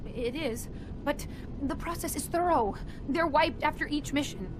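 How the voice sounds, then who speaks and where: A woman acting an earnest, socially awkward robot inventor in a video game, halting and defensive. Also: high voice. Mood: reluctant, pensive. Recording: clear background noise and ambience.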